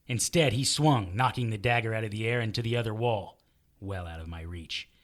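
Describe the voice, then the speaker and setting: A man's voice: on the low side, a little fast, lightly acted. A man playing a weary, wry detective who narrates in the first person in an audio drama, terse and dry. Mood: tense, wry. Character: weary, wry male detective narrating his own fight.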